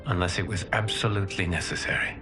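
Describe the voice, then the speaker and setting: A fairly low, dignified voice of a man acting as a noble divine protector in a video game. He talks formally and earnestly, like one who thinks before he speaks, over prominent music. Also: steady tone, fast, lightly acted. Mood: grateful, earnest. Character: noble, thoughtful male fire god, protector of the realm.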